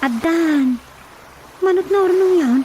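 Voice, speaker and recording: A woman's voice: very high-pitched, expressive, takes it slowly, dark tone, slight background noise, exaggerated voice, poor audio. An actress with a Filipino Ilocano accent playing an eager, helpful young daughter pleading with her parents in a radio comedy drama. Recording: light music behind the voice.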